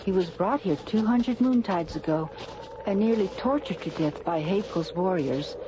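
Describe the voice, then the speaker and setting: A woman's voice: fairly low pitch, expressive, moderate pace, lightly acted. Actress as a serious noblewoman, noisy dull recording. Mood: grave. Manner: formal, earnest. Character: poised, serious noblewoman of an alien island. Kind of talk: radio drama acting.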